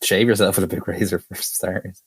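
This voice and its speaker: A man from Ireland guests on a relaxed movie commentary, tossing in dry, deadpan one-liners. His voice is low and husky, recorded close and dry. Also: fairly quick, no echo. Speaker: podcast guest. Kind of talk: casual film commentary chat.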